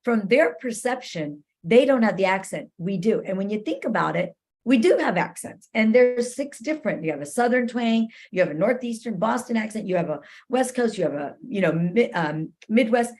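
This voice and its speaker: Female talk radio guest, average pitch, conversational explainer who keeps checking that the listener follows. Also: expressive, moderate pace, hardly any pauses, a little mellow. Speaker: interview guest, cultural competency expert. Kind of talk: conversational advice-giving.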